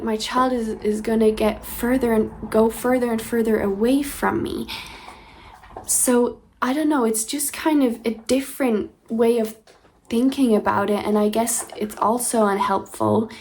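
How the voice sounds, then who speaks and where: Reflective young woman rambling softly. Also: fairly high pitch, fairly even intonation, measured pace, slight background noise. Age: 20s. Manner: reflective, rambling with many fillers and restarts. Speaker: diary vlogger. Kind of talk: casual personal diary talk.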